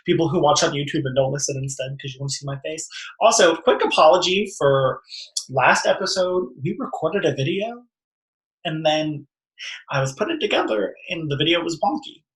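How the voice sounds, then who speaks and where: A man's voice: a little high, lively intonation, a little fast, a bit bright. A young American man hosting a casual podcast over a video call, talking in a campy, sassy, slangy way. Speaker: podcast host. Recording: roomy echo on a video call.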